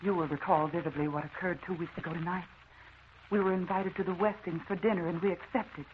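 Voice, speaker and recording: An actress playing an anxious, haunted wife in a melodramatic old-time radio drama. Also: female voice, lowish voice, steady tone, fast talker, close to an everyday voice. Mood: haunted, brooding. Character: anxious, haunted wife recounting supernatural terrors. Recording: muffled, noisy old radio recording.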